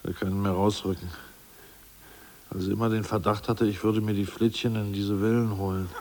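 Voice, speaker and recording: An actor playing an anxious, complaining ousted party official in a radio drama. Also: male voice, on the low side, flat delivery, normal speed, darkish tone, lightly acted. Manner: fretful, plaintive, complaining. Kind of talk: 1980s radio drama acting.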